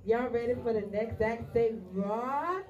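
A woman's voice: a little high, unhurried, highs cut off, crowd noise. A woman co-hosting a live poetry show, energetically calling out to the audience. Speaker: event co-host and poet. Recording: distant mic in a crowded live room.

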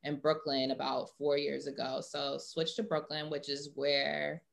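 Low-pitched young woman, casual and informal. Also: level tone, unhurried, creaky. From Atlanta, US. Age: young adult. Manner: informal, heavy use of "like". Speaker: interview guest, sports marketing professional. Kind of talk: casual conversational interview.

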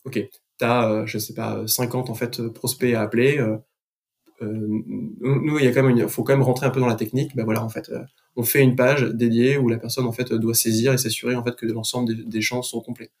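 Man chatting in an even voice. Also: mid-range voice, a little fast. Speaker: podcast guest, business manager. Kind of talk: casual explanatory chat.

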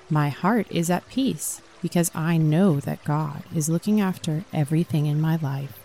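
A woman narrating a scripted guided sleep meditation for children in a gentle, calming, soothing manner. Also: low voice.

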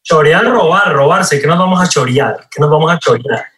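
Colombian male actor guest, slightly high voice, casual explainer, roomy echo. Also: moderate pace, fairly bright tone. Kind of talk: casual chat, explaining slang with examples. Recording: roomy, echoey sound.

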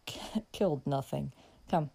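A woman voicing a calm, protective young gentleman in an audiobook, speaking in a steady, matter-of-fact way. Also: deep voice, measured pace, gravelly, breathy edge, voice pushed down, heavily acted. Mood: cool.

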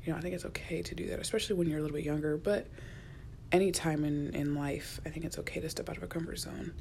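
A young woman, a college student, rambling in a casual solo podcast, chatty and often dropping to a near whisper, recorded in a quiet university office. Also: low-pitched, steady tone, fast talker, vocal fry, a little hiss or hum. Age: young adult. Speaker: podcast host.

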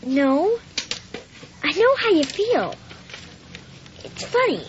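A woman's voice: extremely high pitch, big pitch swings, takes it slowly, noisy background, exaggerated voice. A woman voicing a shy, earnest schoolboy who stammers and hesitates, in a radio drama. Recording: slightly dull radio drama recording.

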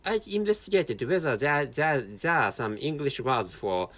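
Halting Japanese-accented man, lively pitch. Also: dry recording. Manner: halting, many restarts and repeated words. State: tired. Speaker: podcast host. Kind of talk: casual solo monologue.

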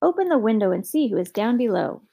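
A woman's voice: on the high side, very animated, fast talker, creaky, mellow, exaggerated voice. A woman voicing a sleepy, snappish, bossy farmer's wife in a storybook. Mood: grumbling. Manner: grumbling, snappish.

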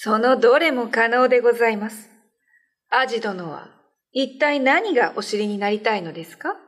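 A woman playing a high-voiced, dignified spirit medium. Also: normal speed, close to an everyday voice. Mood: composed, respectful. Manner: formal, deferential, firm. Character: dignified, loyal female spirit medium of old Ryukyu. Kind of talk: radio drama acting.

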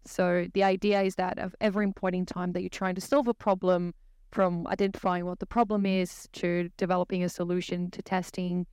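A woman's voice: fairly low pitch, little pitch movement, brisk, a little mellow, clean tone, no echo. A woman with a Brazilian accent and native Portuguese, explaining things conversationally as a podcast guest.

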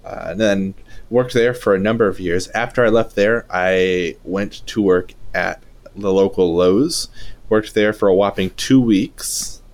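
Relaxed low-voiced man in his twenties. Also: unhurried. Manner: relaxed, steady storytelling with filler "uh"s. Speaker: podcast co-host. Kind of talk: casual conversational podcast.

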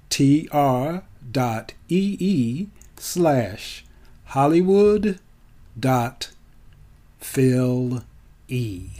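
A man in his seventies telling his own story on mic in a theatrical, emphatic way, enunciating deliberately. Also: mid-range voice, warm tone. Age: 70s. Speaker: featured storyteller and actor. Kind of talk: autobiographical storytelling to an audience on mic.

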